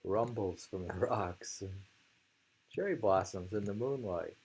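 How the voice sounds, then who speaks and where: A man hosting a casual haiku discussion and reading poems aloud, in a halting, musing way that often trails off. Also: fairly low pitch, a little mellow, husky.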